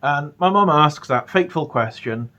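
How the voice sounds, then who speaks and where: A man's voice: on the high side, lively intonation, normal speed, bright, forceful tone, dull audio. A British man telling a personal story casually, often stammering and repeating his words as he goes. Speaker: storyteller, channel host.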